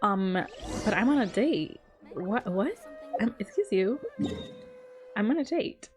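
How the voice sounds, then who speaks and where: A woman's voice: medium pitch, lively intonation, slow. A young woman streaming a game, giving casual, playful, slangy reactions. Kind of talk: casual live reaction commentary. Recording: game music behind the voice.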